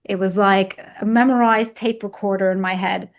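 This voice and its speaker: A woman's voice: medium pitch, fairly even intonation, normal speed. Arabic-speaking woman recounting her past. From Egypt. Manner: open and reflective, tells painful memories plainly. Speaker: guest, weight loss coach. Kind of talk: candid personal storytelling.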